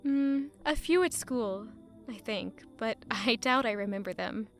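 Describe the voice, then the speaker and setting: Woman acting a playful young woman in love, fairly high voice, teasing, romantic, audio drama, faint music. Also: measured pace, close to an everyday voice. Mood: happy, enchanted.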